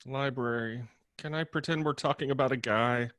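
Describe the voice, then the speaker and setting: A man's voice: medium pitch, steady tone, normal speed, a bit bright, close to an everyday voice. A man playing a sardonic, teasing roommate who likes a drink, dry in manner, in a comedy table read. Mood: drunk, teasing.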